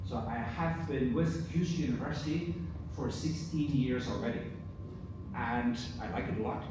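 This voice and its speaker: A middle-aged man from Belgium whose first language is Dutch, giving a relaxed conference presentation with many fillers. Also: mid-range voice, normal speed. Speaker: university professor. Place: large conference hall. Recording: echoey hall from far off, noisy.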